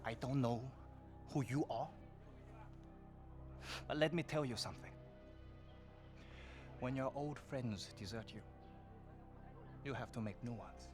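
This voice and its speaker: An actor playing a foul-mouthed, swaggering gangster boss in a video game cutscene, taunting and boastful. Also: male voice, mid-range voice, measured pace, pauses often, lightly acted. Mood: wary. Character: swaggering male gangster arms buyer, foul-mouthed and bitter. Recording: music under the voice.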